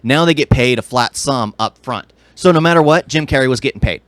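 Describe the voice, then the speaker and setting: A man's voice: medium pitch, fairly quick, fairly bright tone, no echo. A man co-hosting a podcast, giving blunt, opinionated takes in casual pop-culture chat. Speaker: podcast co-host.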